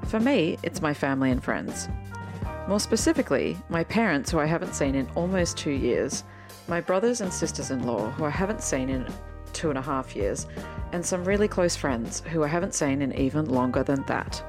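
Aussie woman doing a solo podcast intro, low voice, informal and candid. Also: expressive, normal speed, music in the background. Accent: Australian. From Sydney, Australia. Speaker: podcast host.

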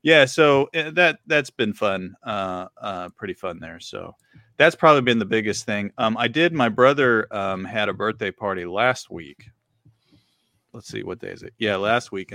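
A man's voice: on the low side, sharp tone, no echo, studio quality. A middle-aged man co-hosting a podcast, chatting casually with lots of fillers and laughs. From Virginia. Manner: rambling, filler-heavy, laughs often. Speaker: podcast co-host. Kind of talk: casual chat.

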